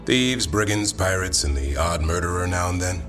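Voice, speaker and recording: Man acting a low-pitched male warrior, dry humor, earnest, measured, fantasy game, light music underneath. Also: close to an everyday voice. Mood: wry, relaxed.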